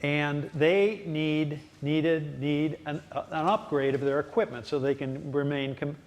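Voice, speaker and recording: A man's voice: fairly high pitch, steady tone, measured pace. A man answering residents' questions at a public town hall meeting, explaining at length with frequent fillers and restarts. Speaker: city official at a ward town hall.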